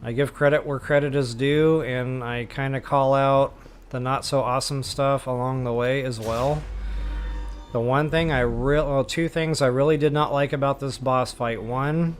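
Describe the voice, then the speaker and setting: An American man gives casual gameplay commentary as a walkthrough host. His medium-pitched voice is candid and informal, with faint game noise behind it.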